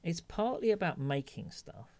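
A man's voice: on the high side, sing-song, normal speed, darkish tone. A man co-hosting a podcast in casual chat, thoughtful and hesitant as he searches for the right words, with a dry self-mocking humor. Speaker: podcast co-host.

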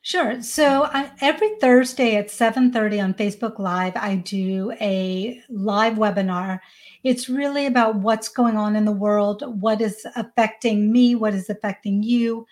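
A woman's voice: medium pitch, unhurried, a little mellow. A woman in her forties with an American accent and Hindi as her first language, talking conversationally as an interview guest. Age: 40s. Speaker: podcast guest, parenting coach.

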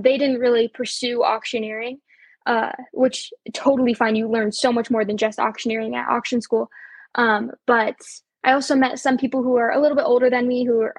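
A teenage girl from Colorado talks through an interview in an upbeat, chatty way, dotting her answers with ums and soft laughs. Her voice sits a little high with a husky, breathy edge. The sound is dry and close. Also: dry recording. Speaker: auctioneer guest. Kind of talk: casual interview chat.

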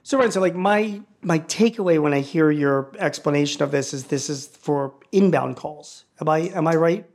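A man's voice: fairly high pitch, normal speed, few pauses, darkish tone. A man hosting a business podcast in a conversational way, often repeating words as he feels out his questions. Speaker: podcast host interviewing a guest.

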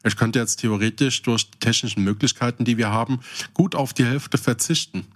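A man's voice: medium pitch, fairly quick. A man with a German accent chatting casually as co-host of a podcast.

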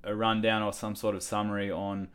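Low voiced aussie guy hosting a podcast, relaxed and rambly. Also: fast talker, clear, resonant tone. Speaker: podcast host.

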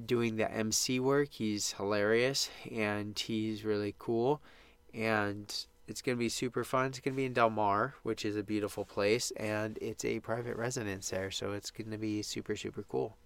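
A man with a smooth, fairly low voice that sounds a bit stuffed up in the nose. He hosts a solo podcast in an informal, upbeat, rambling style. The sound is close and dry, as in a small booth. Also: a bit bright, dry recording. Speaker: podcast host. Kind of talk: casual solo podcast monologue.

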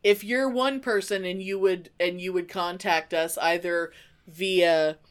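A middle-aged woman co-hosting a podcast in casual chat, halting and repeating herself as she goes. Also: lowish voice, steady tone. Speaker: podcast co-host.

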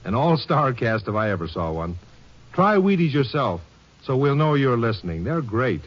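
A man's voice: mid-range voice, big pitch swings, normal speed. A man giving a folksy, enthusiastic scripted endorsement in 1950s radio style. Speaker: guest director endorsing a sponsor. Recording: muffled old radio recording.

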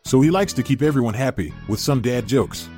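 Male announcer, medium pitch, comic ad script, soft music bed. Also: lively intonation, brisk. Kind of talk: scripted comic promo narration.

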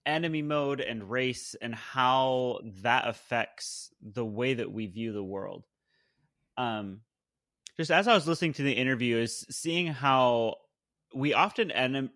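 A man's voice: mid-range voice, fairly bright tone, dry recording, studio quality. A man hosting a podcast, thoughtfully posing questions to his co-hosts. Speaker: podcast host. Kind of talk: casual conversation.

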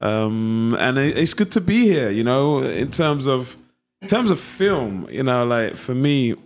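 A man's voice: medium pitch, big pitch swings, measured pace, faint music behind. A man with an East London accent, a guest actor chatting casually in an interview, rambling with lots of fillers and restarts. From East London, England. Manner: laid-back, rambling with many fillers and restarts.

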